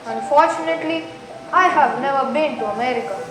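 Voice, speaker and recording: A woman's voice: sits high, fairly quick, close to an everyday voice. A teenage girl playing a meek, pious, humble woman passenger, timid and hesitant, in a school stage play. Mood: polite. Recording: echoey hall, distant, music behind.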